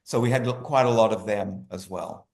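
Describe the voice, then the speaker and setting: A man's voice: on the low side, normal speed, gravelly, darkish tone. Echoey man in an interview, rephrasing emphatically. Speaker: guest, writer. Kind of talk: interview conversation. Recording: roomy, echoey sound.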